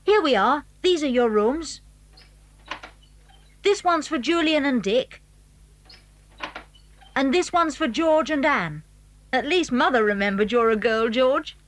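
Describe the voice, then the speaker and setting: A woman's voice: sits high, expressive, takes it slowly, pauses often, a little hiss or hum. A woman playing an eager, confident English schoolboy in a children's audio drama. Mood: confident, brisk.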